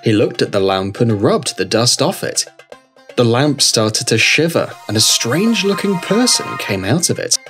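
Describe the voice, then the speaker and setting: A man's voice: mid-range voice, sing-song, normal speed. A man reading scripted narration for a children's fairy tale. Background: background music.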